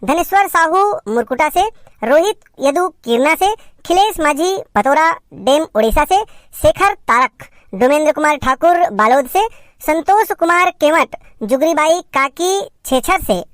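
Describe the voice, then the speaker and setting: A woman with a Chhattisgarhi accent presenting for a channel, reading out shout-outs to viewers. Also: sits high, lively intonation, a little slow, no echo.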